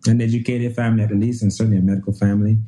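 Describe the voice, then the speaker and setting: A man from Nigeria in his thirties, a guest pulmonologist who tells his story in a relaxed way, often restarting and repeating phrases. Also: fairly low pitch, racing.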